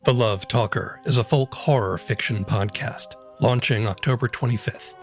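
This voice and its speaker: Measured male podcast host. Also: mid-range voice, breathy edge. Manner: measured, announcer-like. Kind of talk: scripted podcast narration. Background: theme music.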